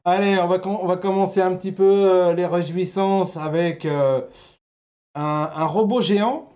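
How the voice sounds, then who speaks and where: A man's voice: high voice, steady tone, moderate pace, a little mellow. A man hosting a casual anime news web show, with jokey, teasing banter. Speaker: host.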